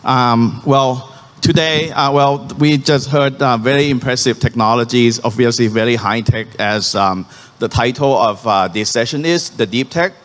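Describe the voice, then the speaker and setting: A man's voice: mid-range voice, a bit bright. Hesitant man with a Thai native tongue. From Thailand. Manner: halting, many ums and restarts, earnest. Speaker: startup founder presenting on stage. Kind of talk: conference pitch talk. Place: conference venue hall. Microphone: handheld stage mic. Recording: hall reverb from a live venue.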